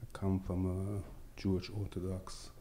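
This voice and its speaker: A man from Belgium introduces himself to a class. His voice is low and mellow. He keeps it short and a little awkward. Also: monotone, measured pace, slight background noise. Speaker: student. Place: library classroom.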